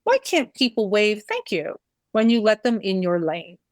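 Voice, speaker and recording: Loud New York woman podcaster. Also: mid-range voice, huge pitch swings, dry recording, clean studio sound. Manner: loud, brisk, reads listener letters. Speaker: podcast co-host. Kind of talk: casual comedic chat.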